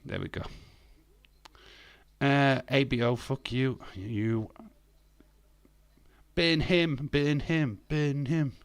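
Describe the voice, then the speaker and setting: A man's voice: mid-range voice, drawn-out words, long pauses, clean tone, no room sound. A man in his fifties hosting a live stream, reading chat comments aloud and answering them bluntly with sarcasm and frequent swearing. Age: 50s.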